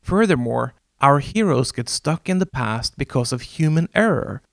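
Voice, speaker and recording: A man whose first language is Norwegian, hosting a video essay in a measured, wry scripted narration with dramatic pauses. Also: medium pitch, lively intonation, gravelly, dry recording, studio quality. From Norway.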